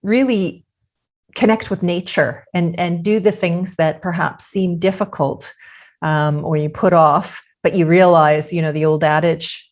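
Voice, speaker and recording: Woman, low voice, measured podcast guest, frequent fillers. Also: steady tone. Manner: thoughtful, measured, many "you know" fillers. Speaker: author interviewed as guest. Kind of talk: podcast interview.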